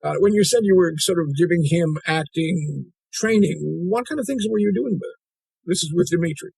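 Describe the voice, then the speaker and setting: A man's voice: fairly high pitch, brisk, creaky, a little mellow, husky, no room sound, rough recording. A male interviewer asking probing questions, often restarting his sentences. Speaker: interviewer, host.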